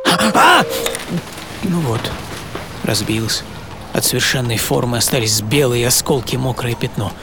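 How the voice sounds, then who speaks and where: An actor playing an eccentric, dreamy architect in his seventies in a theatrical radio monologue full of pauses. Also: medium pitch, big pitch swings, background noise. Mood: confiding, wondering. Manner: theatrical, pausing, swinging from hushed to emphatic. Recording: studio voice over prominent music.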